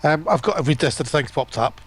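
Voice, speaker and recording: A man's voice: a little high, lively intonation, a little fast, breathy edge. A man in a casual stream chat, chipping in as a participant.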